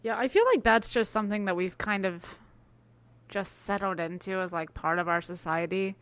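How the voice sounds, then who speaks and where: A woman's voice: medium pitch, fairly even intonation, moderate pace, dry recording. A young woman from the US East Coast co-hosting a podcast, chatting in a rambling, filler-heavy way. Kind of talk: casual chat.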